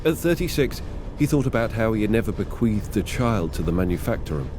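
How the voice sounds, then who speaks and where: A man performing scripted dramatic narration of a grim science fiction story in a measured, deliberate way. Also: on the low side, big pitch swings, background noise.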